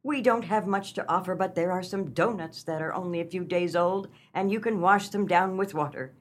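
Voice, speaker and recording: A woman's voice: on the low side, normal speed, natural voice. An actress playing a kindly, fretful old farmer's wife in a comic radio melodrama, earnest and motherly in a theatrical way. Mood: motherly concern.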